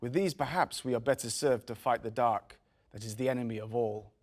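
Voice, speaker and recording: A man's voice: medium pitch, fairly quick, natural voice. A man voicing a zealous, troubled visionary who solemnly addresses troops in a fantasy drama read. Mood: solemn, urgent.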